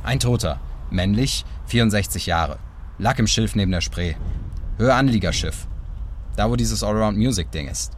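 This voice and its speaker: A man playing an impatient, gruff police officer in a crime drama. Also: on the low side, normal speed, a little hiss or hum, lightly acted. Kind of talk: radio crime drama acting.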